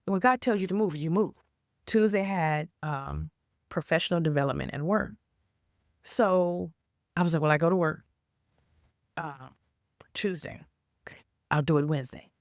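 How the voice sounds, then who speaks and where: Raspy woman with a cold, low voice. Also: moderate pace, long pauses, no echo, studio quality. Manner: rambling, stops and restarts, then earnest exhortation. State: hoarse from a cold. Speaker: faith vlogger. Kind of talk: casual faith talk to camera.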